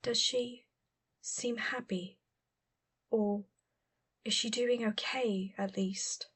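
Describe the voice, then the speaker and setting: A woman voicing a warm, wistful adult woman, a caring older cousin, in a gentle audiobook manner. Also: fairly high pitch, fairly even intonation, drawn-out words, breathy edge, full character voice. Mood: subdued, wistful. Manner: gentle, careful, murmured at times.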